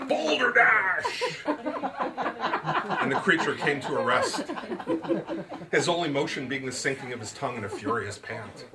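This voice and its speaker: A man reading comic fiction aloud as its author, with theatrical, expressive storytelling. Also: mid-range voice, a little fast, over a noisy crowd. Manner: theatrical storytelling, literary phrasing. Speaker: author reading his novel.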